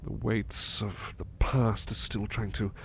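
A man playing a gentle, anxious, sleepy butler in a soft-spoken ASMR roleplay, hesitant and apologetic as he stammers. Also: fairly low pitch, level tone, slow pace, vocal fry. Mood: troubled, weary. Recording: faint background noise.

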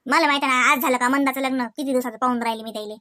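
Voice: sits high, steady tone, racing, sharp tone. A performer playing a worldly older village woman, who lectures and keeps checking "right?" after each point. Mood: advising.

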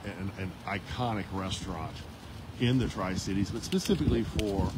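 A veteran male field reporter talking unscripted on scene, halting and repeating words with many fillers, filmed on his own phone in an outdoor parking lot. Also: deep voice. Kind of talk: live on-scene news report. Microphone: phone held by the reporter. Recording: noisy outdoor phone recording. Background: outdoor traffic noise.

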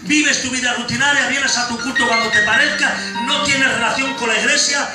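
A man's voice: very high voice, steady tone, a little fast. A middle-aged man in Spain, preaching a sermon to a congregation with fervor, homely diminutives and humor. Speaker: pastor. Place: church hall with a congregation. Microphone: handheld mic, filmed from the pews. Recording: echoey hall from far off with music.

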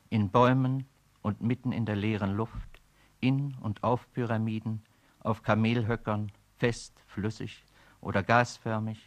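A man's voice: lowish voice, natural voice. A man voicing a brooding, weary narrator. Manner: slow, poetic inner monologue. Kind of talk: radio play monologue.

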